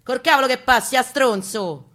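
A woman performing a furious, mocking working-class male militant in a story, shouting sarcastically in a Roman accent. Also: high voice, normal speed, pitched above the performer's own voice. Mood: defiant fury.

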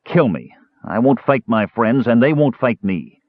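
A man's voice: medium pitch, lively intonation, a little slow, dry recording, voice pushed up. A worn-down warrior man, as a male narrator voices him, bleak and hollow, stumbling over words when cornered. The audio is dry and thin, pinched into a narrow phone-like band. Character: weary, trapped burly male warrior.

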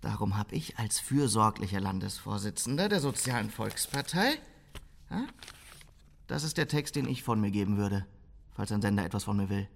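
A man playing a cynical, scheming party strategist in a German radio drama, with a sardonic, brisk delivery. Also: on the low side, animated, creaky.

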